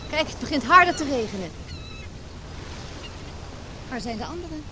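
A gentle, guarded married woman in a Dutch radio play. Her voice sits a little high and speaks naturalistically, as a confidante would. There is clear noise behind her. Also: expressive, moderate pace.

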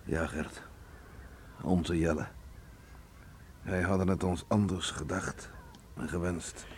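Low, slow, grieving father. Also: steady tone, slight background noise. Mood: grave sorrow. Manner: solemn, halting, heavy-hearted. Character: grieving, guilt-ridden stubborn father from a seaside village. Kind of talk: Dutch radio play acting.

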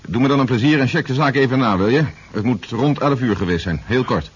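An actor playing a brisk, commanding army general with wry authority in a 1970s radio drama. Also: male voice, medium pitch, expressive, lightly acted. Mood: businesslike. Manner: authoritative, clipped orders. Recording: muffled, noisy 1970s radio drama tape.

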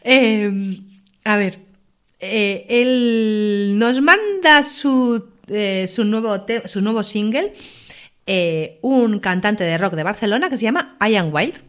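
A woman's voice: medium pitch, animated, takes it slowly, a bit bright. Playful woman radio co-host. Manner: playful, stumbles and hesitates while reading. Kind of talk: casual on-air chat.

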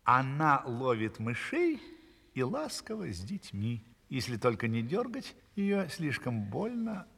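A man with a husky voice narrating a fairy tale expressively as a storyteller. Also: mid-range voice, wildly swooping pitch, unhurried, a bit bright. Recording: long hall-like reverb.

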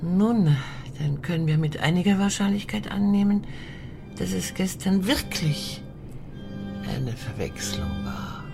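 An actress playing a worldly, wistful older lady in a German radio drama, speaking formally with a gently teasing manner. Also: fairly low pitch, expressive, unhurried, loud music behind the voice. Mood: curious, teasing. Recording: clear background ambience noise.

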